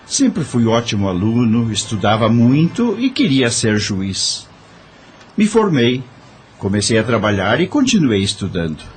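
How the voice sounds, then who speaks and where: A man's voice: medium pitch, very animated, a little slow, slightly rough, exaggerated voice. An actor playing a once-proud corrupt judge in an audio drama, confessing his misdeeds with remorse in a dramatic, sighing manner. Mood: weary, confessional.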